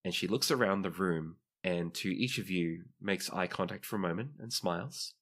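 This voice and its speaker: Low voiced guy game mastering, describes stuff in a chatty storytelling way. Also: moderate pace, a bit bright. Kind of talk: improvised narration of a tabletop role-playing game.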